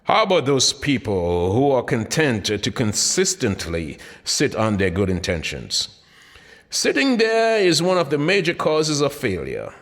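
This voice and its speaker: A man reading his own motivational audiobook in an emphatic, deliberate style with dramatic pauses. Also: mid-range voice, wildly swooping pitch, a bit bright. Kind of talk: scripted motivational audiobook narration. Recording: long hall-like reverb.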